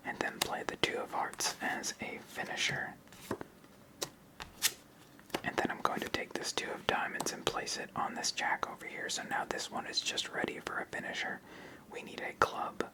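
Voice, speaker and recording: An ASMR host speaks entirely in an unvoiced whisper. The delivery is calm and methodical, walking the listener through a card game one step at a time. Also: low-quality recording.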